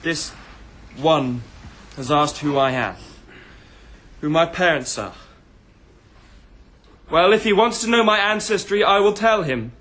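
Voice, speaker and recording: Proud young emperor in radio drama, high voice, slow grand speeches, very commanding. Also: male voice, lively intonation, a bit bright. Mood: imperious. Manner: declamatory, grand, deliberate.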